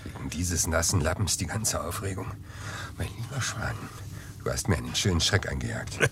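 An actor playing an easygoing, teasing friend of a detective, with a familiar bantering manner, in a crime radio drama. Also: male voice, low-pitched, expressive, normal speed. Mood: bantering, curious. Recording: noisy background ambience.

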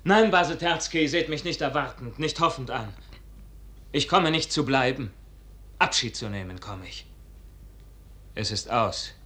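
A man acting a passionate young lover with a husky voice, pleading fervently in a 1950s radio verse drama. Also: mid-range voice, sharp tone, full character voice. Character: passionate, tormented young lover bidding farewell.

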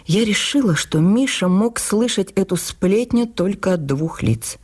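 An actress playing an earnest, wounded woman writer, narrating her memoir for radio in an expressive, confiding, deliberate manner. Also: medium pitch, husky. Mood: reflective. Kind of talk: dramatized first-person literary narration for radio.

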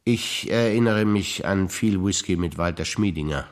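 An older man with a German accent recites his memories in a stage performance, his voice sitting low. He delivers each line in a dry, measured way, trading short recollections with a partner. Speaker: stage actor.

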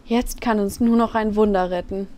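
A woman's voice: mid-range voice, fairly even intonation, normal speed, close to an everyday voice. A woman playing a young priestess in a parody fantasy audio drama. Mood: worried. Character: young priestess, gentle objector in a hero party. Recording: loud background noise.

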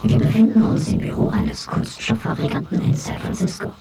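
A man making dramatic scripted announcements in a whispery, creaky voice. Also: high-pitched, fairly quick, recorded from a distance, a crowd in the background. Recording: loud music over voice.

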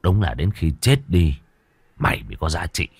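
A man voicing a sadistic husband who sneers and gloats with low menace in a horror story. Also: big pitch swings, moderate pace, creaky, a little mellow, husky, no echo, heavily acted. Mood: menacing.

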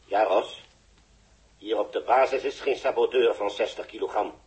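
A man acting a grave, authoritative chief engineer with a Dutch accent, speaking deliberately in a 1960s radio drama. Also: lowish voice, darkish tone, close to an everyday voice, poor audio. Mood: ominous, resolute. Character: grave, measured male chief engineer at mission base.

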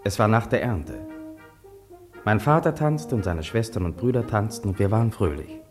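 A male actor voices a farmer's son narrating his memories in a radio play. The voice sits fairly low but moves expressively, sober and earnest, over faint music. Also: lively intonation, moderate pace, natural voice. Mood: sober, recollecting. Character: farmer's son recalling family and village past soberly. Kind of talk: radio drama first-person narration.

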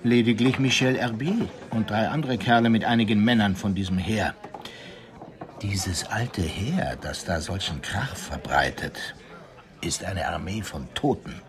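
An actor playing a learned, grave police commandant who tells tales in a theatrical, savoring way in a radio crime drama. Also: male voice, on the low side, expressive, a little slow. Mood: ominous. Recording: music bed under studio radio drama.

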